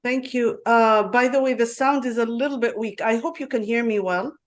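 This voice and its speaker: Earnest woman, halting, on a video call. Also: a little high. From Yemen. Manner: earnest, halting with many fillers and restarts. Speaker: conference speaker. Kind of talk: online conference talk. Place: home in Dubai.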